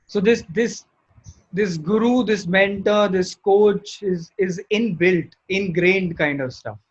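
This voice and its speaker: A man's voice: sits high, takes it slowly, breathy edge, dull audio. A man hosting an interview show, enthusiastic and speaking in short punchy phrases. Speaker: host. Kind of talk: upbeat show intro and interview.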